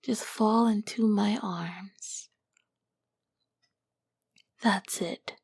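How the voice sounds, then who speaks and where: Slow, breathy whispering succubus, acted by a woman. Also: mid-range voice, steady tone, a little mellow, no echo, clean studio sound, exaggerated voice. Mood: soothing, affectionate. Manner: slow, soothing, doting endearments. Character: ancient nurturing succubus queen, tender demon woman. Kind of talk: ASMR roleplay.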